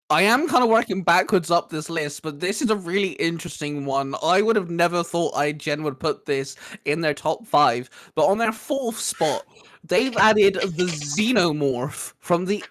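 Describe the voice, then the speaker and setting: Host, male, slightly high voice, relaxed, keeps the list moving. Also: measured pace. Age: adult. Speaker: host leading the discussion. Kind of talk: casual fan discussion.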